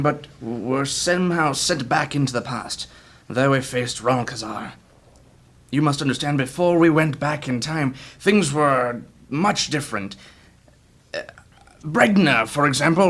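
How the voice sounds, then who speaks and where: Old male adventurer for fantasy audio drama: emotional, haunted, grave, medium register, halting delivery. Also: mid-range voice, a bit bright.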